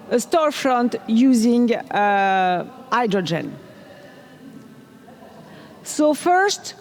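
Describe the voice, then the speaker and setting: A middle-aged woman with a French accent presents a technical talk at a conference, upbeat and quizzing the crowd now and then. Her voice sits high. The sound carries the long reverb of a big hall. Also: a little mellow. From France. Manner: enthusiastic, asks the audience questions. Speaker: conference speaker. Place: large conference hall on stage.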